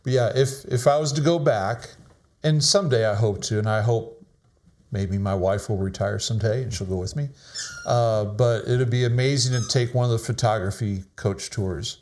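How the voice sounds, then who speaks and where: A man's voice: on the low side, normal speed, a little mellow, slight background noise. A middle-aged man casually talking through his photos, modest and rambling, laughing often. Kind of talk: casual chat.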